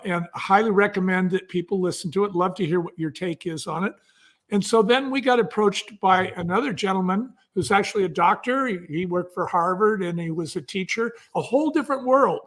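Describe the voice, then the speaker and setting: A man's voice: sits high, fairly bright tone. A man speaking as an interview guest, explaining and storytelling in a conversational, enthusiastic way. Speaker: guest, entrepreneur and author.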